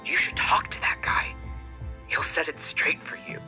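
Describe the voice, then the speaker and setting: An actor playing an agitated conspiracy-minded witness in an audio drama, talking in an insistent, halting way. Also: male voice, sharp tone, faint music behind. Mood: nervous, insistent. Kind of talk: audio drama, casual interview.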